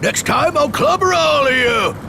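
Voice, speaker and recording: A man voicing a boastful cartoon villain in a video game, gravelly, pompous and theatrical, with folksy mangled words. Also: extremely high pitch, expressive, a little slow, background noise, heavily acted. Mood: scornful. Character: blustering, boastful male cartoon villain posing as a hero. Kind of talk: animated video game voice acting.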